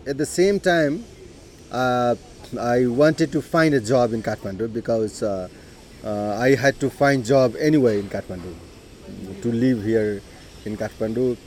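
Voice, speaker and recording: A middle-aged man with a Nepali accent, telling his life story in an unhurried way, with frequent "you know" and throat clearing. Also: mid-range voice, a little mellow, a little hiss or hum. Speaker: interviewee. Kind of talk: personal life story told in conversation.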